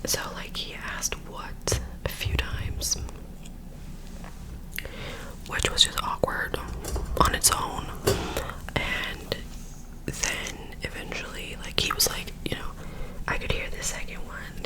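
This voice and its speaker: Rambling whispering young woman. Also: poor audio. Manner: rambling, self-correcting, filler-heavy storytelling. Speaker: ASMR creator. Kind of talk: whispered ASMR chat while doing makeup.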